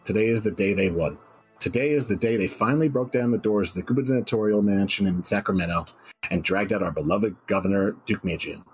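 A man's voice: on the low side, fairly quick, soft background music, close to an everyday voice, low-quality recording. A man voicing a hysterical radio announcer preaching doom in a theatrical, ranting manner. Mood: grave, solemn. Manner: theatrical, ranting, swooping into shouts of outrage. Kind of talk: dramatic radio broadcast reading.

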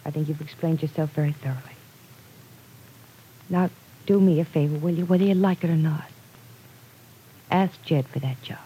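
An actress playing a gentle, coaxing woman confidante in an old radio drama. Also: deep voice, fairly even intonation, normal speed, long pauses, breathy edge, low-quality recording. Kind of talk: 1940s radio drama acting.

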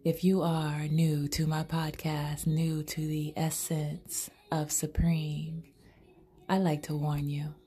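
A woman's voice: low voice, completely flat delivery, takes it slowly, warm tone, slightly rough. A woman hosting her own podcast, improvising erotic storytelling in a sultry, half-whispered, intimate way. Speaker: podcast host.

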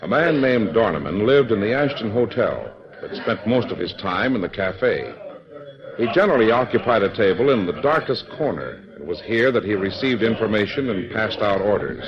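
Dramatic old-time radio narrator. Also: male voice, fairly low pitch, sing-song, moderate pace, a crowd in the background. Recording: dull old radio recording.

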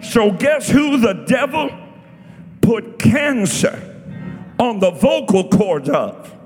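A high-pitched American man in his sixties preaches in a big reverberant hall over loud music. He shouts and exhorts in fiery Pentecostal revival style, hammering repeated phrases. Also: expressive, takes it slowly. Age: 60s.

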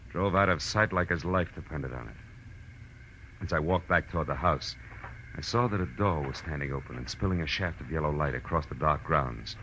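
An actor playing a tough, wry private detective in 1940s radio drama style, terse and dramatic. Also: male voice, low voice, noisy background. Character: tough, wry male private detective narrating his case. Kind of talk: 1940s radio drama acting, hard-boiled narration. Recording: muffled old radio recording with music.

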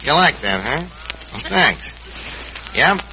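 A man's voice: on the high side, very animated, takes it slowly, bright, forceful tone, heavily acted. An actor playing a brash, scheming New York tavern manager who mangles his words pompously in a 1940s radio comedy. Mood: eager, wheedling. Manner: pompous malapropisms and slangy grammar. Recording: noisy old 1940s radio recording.

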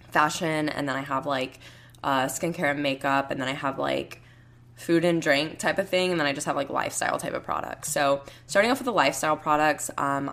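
Young woman podcasting at home, low gravelly hoarse voice, lots of like and um. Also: a bit bright. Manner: rambling, heavy use of "like" and "um". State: hoarse, raspy voice. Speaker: podcast host. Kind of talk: casual solo podcast chat.

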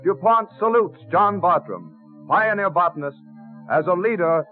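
Higher-voiced vintage radio announcer, male. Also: expressive, a little slow. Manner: formal, declamatory. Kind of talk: 1930s radio scripted narration. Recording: muffled old radio recording. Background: music.

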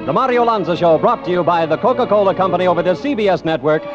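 A man announcing a 1950s radio program in a smooth, upbeat sales delivery. His fairly high voice rises and falls with lively swings. The sound is a dull old radio transcription with clear music behind him. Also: fast talker.

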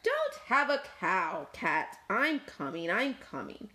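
A woman's voice: mid-range voice, huge pitch swings, takes it slowly, pushed and bright, full character voice. A woman voicing a sleepy, easily indignant cow in a picture book. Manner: indignant, drawling.

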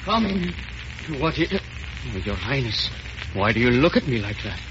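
An actor playing a timid, long-suffering old manservant in old radio comedy style. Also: male voice, medium pitch, big pitch swings, normal speed, close to an everyday voice. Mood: timid, dutiful. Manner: timid, deferential. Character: meek, long-suffering old male servant. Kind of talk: 1930s-40s radio comedy acting. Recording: noisy, muffled old radio recording.

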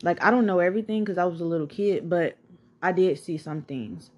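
A woman's voice: lowish voice, brisk. A woman in her twenties from Atlanta with a Southern accent, hosting a podcast and candidly telling her life story in a chatty way, swearing and saying "like" a lot. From Atlanta, Georgia. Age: 20s. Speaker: podcast host. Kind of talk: casual personal storytelling.

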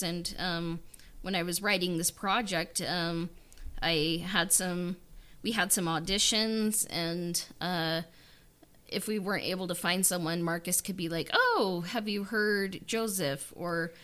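Female podcast host, bright, crisp-edged tone on the lower side, conversational and halting. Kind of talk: casual chat.

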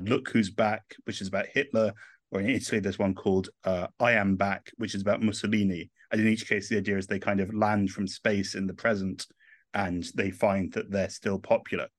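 A thoughtful English man in his thirties, talking through his ideas with frequent "uh" pauses. His voice sits low, and the recording is dry and close with no room sound. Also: dry recording. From England. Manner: thoughtful, many "uh" fillers. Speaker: author and guest. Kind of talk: podcast interview.

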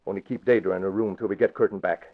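A man's voice: lowish voice, steady tone, fast talker. An actor playing a nervous, scheming male crook who blusters in an agitated way, in 1940s radio acting style. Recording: dull old radio recording.

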